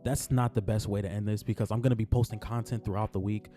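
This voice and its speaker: A man talking casually about basketball in his own vlog, his voice raspy from just waking up, laid-back and repeating himself as he speaks from the heart. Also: lowish voice, steady tone, fast, dark tone, faint music behind. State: raspy morning voice. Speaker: sports vlogger. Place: on his way to work.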